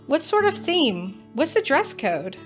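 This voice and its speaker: Man as earnest young woman cadet, high and lively. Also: a little slow, soft background music, full character voice. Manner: wistful, earnest.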